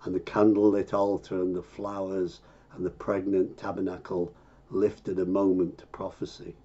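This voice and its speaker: Male poetry reader, dark and husky, measured delivery, dull lo-fi recording. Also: low-pitched, level tone. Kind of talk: slow, measured poetry recitation.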